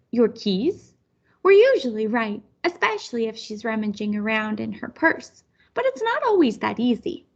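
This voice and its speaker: High-pitched woman reading a children's story. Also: dull audio. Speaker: storybook reader. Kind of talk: read-aloud children's story narration.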